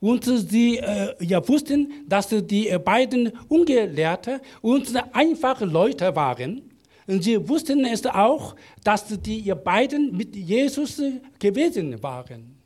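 A man preaching in German, a language he learned as an adult, halting often with fillers and restarts while an interpreter follows. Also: sits high, big pitch swings, darkish tone. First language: not German. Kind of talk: sermon with live interpreter.

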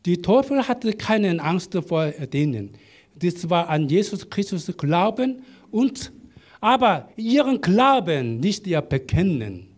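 A man preaching in German, a language he learned as an adult, halting often with fillers and restarts while an interpreter follows. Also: high voice, big pitch swings. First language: not German. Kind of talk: sermon with live interpreter.

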